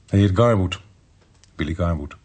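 An actor playing an apologetic police investigator in a Dutch radio drama, speaking in a measured, explanatory way. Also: male voice, low voice, a little mellow, husky. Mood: explaining.

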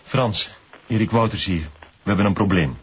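A courteous but guarded Flemish institution director in a radio play. His voice is low and very husky, and he chooses his formal words with care. The recording is dull and lo-fi. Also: normal speed. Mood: wary, conspiratorial. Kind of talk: radio drama acting.

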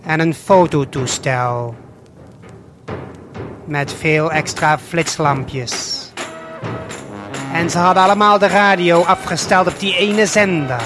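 A man with a slightly high voice tells a scripted story straight to the listener. He speaks very slowly in short, measured, hypnotic phrases with deliberate pauses, while music plays clearly behind him. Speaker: narrator and guide of an audio play. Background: prominent music.

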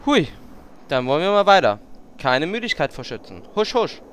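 A man's voice: on the high side, very animated, normal speed, a bit bright, a little hiss or hum. A man playing a cheeky, mocking, flamboyant adventurer in a parody audio drama, chirpy and teasing.

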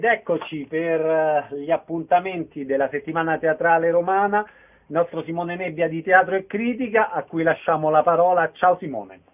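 A man presents a radio show in a fairly high voice. The sound is a little dull, as on a modest broadcast. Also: normal speed, faint music behind. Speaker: radio host.

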